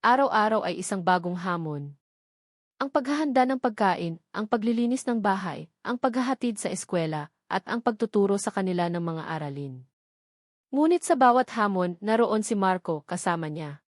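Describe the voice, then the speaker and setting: A woman's voice: mid-range voice, animated, normal speed, no echo. Woman narrating in a dry studio sound. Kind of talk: scripted romance story narration.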